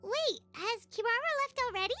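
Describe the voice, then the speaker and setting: A woman's voice: extremely high pitch, a little fast, full character voice. A woman voicing a tiny, childlike fairy girl companion in a video game, perky, chirpy and eager. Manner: perky, excitable, refers to self in third person. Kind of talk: scripted video game voice acting. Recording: loud music behind the voice.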